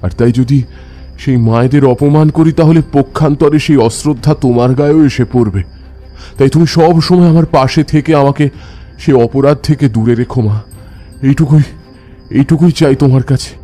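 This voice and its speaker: Horror audio story lead: actor as devout tantric man, warm dark timbre, intense first-person narration, music bed. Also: medium pitch, moderate pace, lightly acted. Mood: reverent, pleading. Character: devout male tantric ascetic recounting his eerie encounters. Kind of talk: dramatic scripted horror narration.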